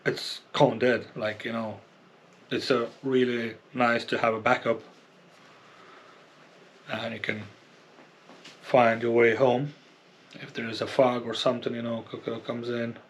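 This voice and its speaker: Low-key man rambling over background noise. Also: flat delivery, measured pace, long pauses. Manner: hesitant, rambling, repeats himself. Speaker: product reviewer and kayak angler. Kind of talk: casual unscripted product review. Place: indoors at home near a computer.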